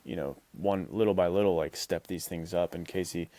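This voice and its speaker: Male podcast host, low-pitched, close dry sound, loose encouraging chat. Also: steady tone, a little fast, mellow, no room sound. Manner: rambling, encouraging, heavy use of "like".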